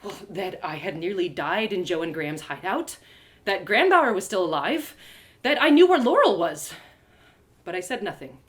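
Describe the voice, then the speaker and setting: A woman storyteller narrates a fantasy tale in the first person. Her delivery is theatrical and expressive, with a lively pitch that rises and falls to shape each phrase. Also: moderate pace. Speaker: author reading her story aloud.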